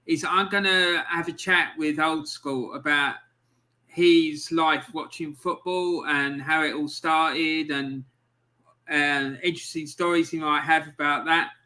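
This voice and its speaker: A man with a high, very bright voice hosts a relaxed podcast chat. He talks loosely and casually, stopping and restarting now and then as he sets things up. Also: measured pace. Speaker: podcast host.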